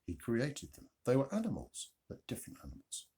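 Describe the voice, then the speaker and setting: A man narrating a children's Bible story, with expressive emphasis and questions put to the listeners. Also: medium pitch, husky. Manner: expressive, dramatic emphasis and pauses. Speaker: storyteller, reader.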